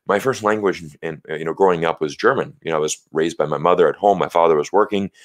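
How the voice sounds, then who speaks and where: American guy doing a live stream, low voice, lots of "you know", dry close mic. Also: no echo. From United States. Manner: earnest, explanatory, thanks viewers, many "you know" fillers. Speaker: livestream host. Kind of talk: casual live-stream talk. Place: small home studio, indoors. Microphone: large studio mic on a stand close in front.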